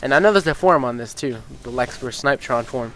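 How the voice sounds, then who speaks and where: Man, stuffy nose, casual gaming chat, rambling, mid pitch, lots of ums, swears. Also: quick pace, a little hiss or hum. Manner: rambling, with many ums and uhs, and swears freely; gets excited and incredulous about game balance. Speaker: gaming YouTuber. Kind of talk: casual gameplay commentary.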